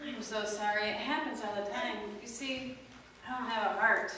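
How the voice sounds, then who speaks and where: A snappish, heartless tin man, as a woman acts him out in a homemade church play. The voice sits high and bounces around a big echoey hall, heard from a distance over background noise. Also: steady tone, normal speed, bright, forceful tone. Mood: mock-sorry. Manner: snappish, name-calling, then whiny. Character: rude clumsy Tin Man, then a cowardly lion. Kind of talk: amateur church skit. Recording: echoey hall from far off, noisy.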